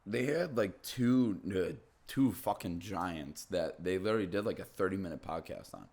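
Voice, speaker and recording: A young man co-hosting a podcast, talking loudly in casual chat and swearing freely. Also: low voice, animated, normal speed, creaky. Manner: loud, swears freely, laid-back. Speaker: podcast co-host.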